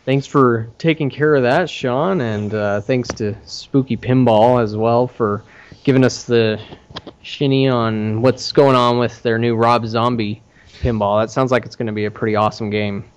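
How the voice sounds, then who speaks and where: A man's voice: mid-range voice, steady tone, moderate pace, clear, resonant tone, highs cut off. A man hosting a podcast, talking through news in a conversational, slightly hesitant way. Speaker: podcast host. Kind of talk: casual podcast wrap-up, announcing news.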